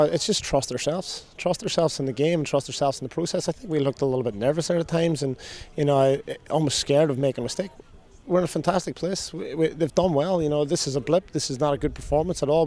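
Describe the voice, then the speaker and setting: A man in his thirties, a soccer coach giving a measured post-game interview outdoors, often repeating and rephrasing his words. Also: a little high, dark tone. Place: outdoor sports field at night. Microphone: handheld interview microphone.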